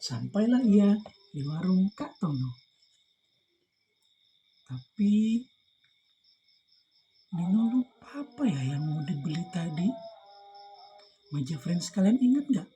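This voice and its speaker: A woman's voice: fairly low pitch, expressive, slow pace, pauses often, warm tone, faint music behind, low-quality recording. A woman reading a children's story aloud expressively, engaging young listeners.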